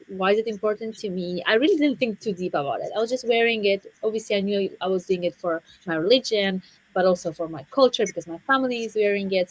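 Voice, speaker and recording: A woman's voice: medium pitch, dry recording, rough recording. Woman w telling her own story as panel guest, stop and start, dry sound no room. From Afghanistan and Central Asia. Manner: halting, repeats words as she goes. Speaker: conference guest speaker. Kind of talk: personal story in a panel interview.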